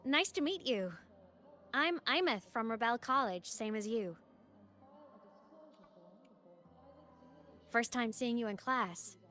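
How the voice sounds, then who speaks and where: Lively, high-voiced teasing college girl. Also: unhurried. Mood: friendly, teasing. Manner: teasing, animated. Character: playful, breezy young female college student. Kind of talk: video game voice acting. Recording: music under the voice.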